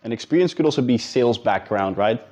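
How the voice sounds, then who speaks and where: Dutch-accented male coach, informal straight talk, warm-dark voice, a little lo-fi. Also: mid-range voice, lively intonation, fast talker. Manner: direct, informal, addresses viewers as guys. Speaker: business coach. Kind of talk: casual talking-head advice video.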